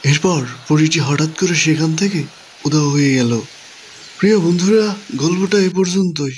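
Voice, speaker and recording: A man's voice: on the high side, normal speed. A man narrating a children's cartoon story in a scripted storytelling style. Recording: faint background noise.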